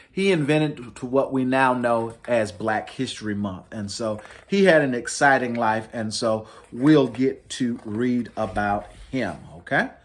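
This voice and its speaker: A man hosting a story time, reading a picture book aloud to families in a dramatic, emphatic way. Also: medium pitch. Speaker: children's storybook reader, host.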